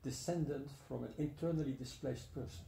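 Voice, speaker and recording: Older man guest, dark gravelly tone, thoughtful and hesitant, roomy sound. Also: mid-range voice, a little fast, a little hiss or hum. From Netherlands. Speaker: guest, entrepreneur and author. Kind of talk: interview answers. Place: room at a wooden table.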